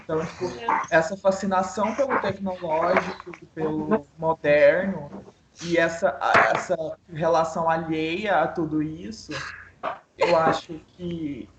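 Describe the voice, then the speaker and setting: A woman's voice: low voice, steady tone, unhurried. A woman in an online book club, talking through a short story in a thoughtful, analytical way with frequent restarts. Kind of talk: casual literary discussion. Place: home, online video call. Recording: echoey room with noise.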